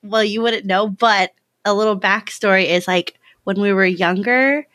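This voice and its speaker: A woman's voice: mid-range voice, normal speed, a bit bright, no room sound, studio quality. An American woman in her late twenties chatting casually as a podcast guest, informal and giggly, often saying "like". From California, United States. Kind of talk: casual chat.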